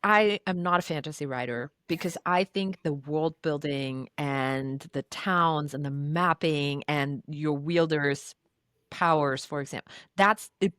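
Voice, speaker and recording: A woman's voice: low-pitched, a little slow, a bit bright, clear, resonant tone, no echo, studio quality. A woman hosting a podcast, an enthusiastic romance writer who restarts her questions a lot. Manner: enthusiastic, trails off mid-question. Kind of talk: casual podcast chat.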